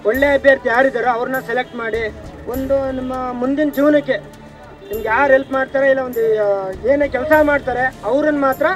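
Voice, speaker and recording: Vox-pop man, strikingly high pitch, outdoors with faint music behind. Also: steady tone, fast. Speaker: member of public interviewed. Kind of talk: street interview opinion.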